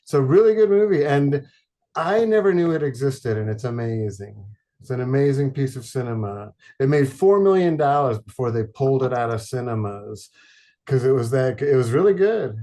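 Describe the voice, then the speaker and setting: A man from Detroit, a podcast guest, chatting casually in a halting, rambling way with many fillers and deadpan jokes. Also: medium pitch. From Detroit, Michigan. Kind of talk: casual chat.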